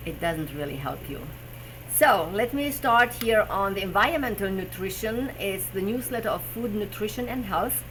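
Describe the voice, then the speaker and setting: A woman's voice: medium pitch, lively intonation, moderate pace, fairly bright tone, a little hiss or hum, poor audio. A woman with a German accent, hosting a live stream as a personal trainer, chatting about health with frequent fillers while searching for English words. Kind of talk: casual live stream talk.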